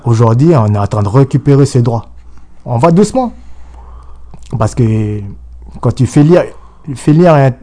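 A man's voice: mid-range voice, dark tone. A man from New Caledonia, giving an insistent political interview full of restarts and repetition. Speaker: guest.

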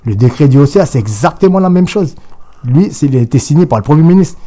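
Interview guest: New man, warm dark voice, forceful and repetitive. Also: on the high side, animated, fast. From New Caledonia. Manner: insistent, repetitive, restarts often. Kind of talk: political interview.

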